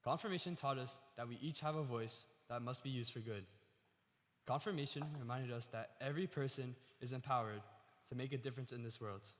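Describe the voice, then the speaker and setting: A man's voice: mid-range voice, brisk, long reverb tail. A man giving an earnest prepared speech at a worship service. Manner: earnest, reading from a script.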